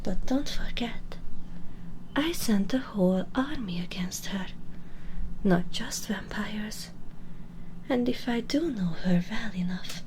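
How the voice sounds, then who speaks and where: Slow, menacing vampire villainess played by a woman. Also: mid-range voice, animated, a little mellow, a little hiss or hum, rough recording. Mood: mocking. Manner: breathy half-whispers, slow menacing taunts, condescending. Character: sadistic, vengeful female vampire villain, centuries old. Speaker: audio roleplay performer. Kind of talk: intimate ASMR villain roleplay. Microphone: close binaural microphone.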